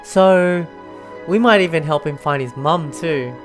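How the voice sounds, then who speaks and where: A man's voice: a little high, unhurried. A young man giving casual let's play commentary, informal and thinking aloud as he reacts. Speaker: gamer playing and reacting to a story quest. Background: game music.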